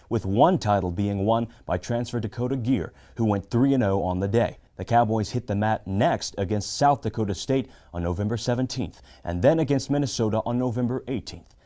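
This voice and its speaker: A young man narrating sports news packages and reading a sportscast in a steady scripted delivery. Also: fairly low pitch. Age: young adult. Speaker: student sports reporter.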